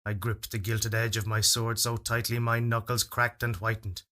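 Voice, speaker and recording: Bright-voiced man reading a solemn fairytale. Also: fairly low pitch. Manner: measured, expressive, solemn storytelling delivery. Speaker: story reader. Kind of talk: dramatic literary narration of a first-person fairytale.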